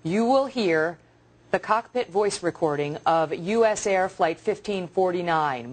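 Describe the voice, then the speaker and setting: A woman with an American accent presenting live breaking news and commenting on it emphatically as the anchor. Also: mid-range voice.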